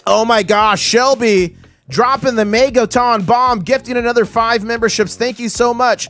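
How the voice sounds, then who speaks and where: A man hosting a casual livestream, his voice hoarse, talking with excitable hype-man energy. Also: very high voice, moderate pace, faint music behind. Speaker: livestream host.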